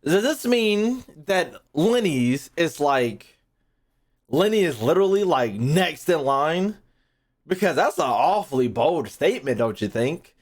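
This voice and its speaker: A man's voice: on the high side, very animated, measured pace. Slangy, emphatic man reacting casually. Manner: slangy, emphatic, repeats phrases, swears. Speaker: streamer reacting to game voice lines.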